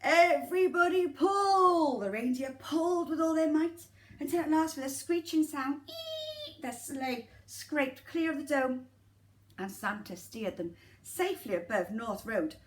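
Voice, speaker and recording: A woman tells a nursery story in a high voice. Her delivery is very animated and dramatic, and she often turns to chat directly to the children. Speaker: nursery teacher reading a story. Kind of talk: expressive children's storytelling with asides to listeners.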